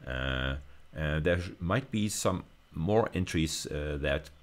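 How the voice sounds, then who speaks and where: A man's voice: extremely low pitch, lively intonation, slow. A man giving an informal technical lecture, thinking aloud with some hesitation as he demonstrates step by step. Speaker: university lecturer.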